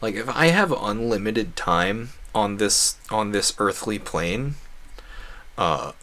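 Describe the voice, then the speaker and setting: A man with a fairly low voice talks casually to viewers during a gaming livestream. He is talkative and rambles through his thoughts at length. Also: expressive, slow, sharp tone. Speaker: video game livestreamer. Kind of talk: casual rambling livestream chat over gameplay.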